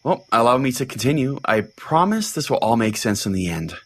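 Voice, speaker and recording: A man playing a deadpan, dejected small-town pastor who hosts a comedic fiction podcast in a rambling, exasperated conversational way. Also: medium pitch, a little fast. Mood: storytelling. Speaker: podcast host.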